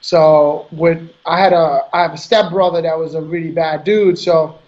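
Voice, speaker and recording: Haitian-born guy in his 30s, soft hesitant answers, slightly high voice, dull muffled audio. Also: fairly even intonation, moderate pace. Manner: soft-spoken, hesitant, repeats words. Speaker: guest bodybuilder. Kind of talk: casual interview answers.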